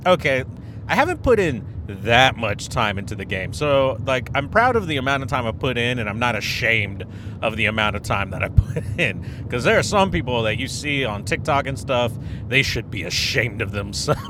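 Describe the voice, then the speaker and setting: A man's voice: big pitch swings, normal speed. A man hosting a casual solo podcast, rambling, correcting himself and laughing at his own jokes, his nose and throat still stuffy after a cold. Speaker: podcast host. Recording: noisy with background rumble.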